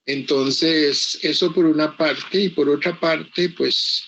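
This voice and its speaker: Older man, from Costa Rica, native English speaker, author interview, husky voice, slightly high, dull lo-fi sound. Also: fairly even intonation, a bit bright. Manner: halting, full of pauses and restarts. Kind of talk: slow reflective interview answers.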